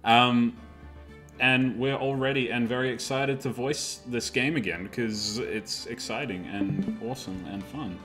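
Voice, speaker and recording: A man hosting a casual group dubbing stream with jokey, deadpan sarcasm. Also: mid-range voice, unhurried. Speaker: host of a group live dub stream. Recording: game music behind the voice. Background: game music.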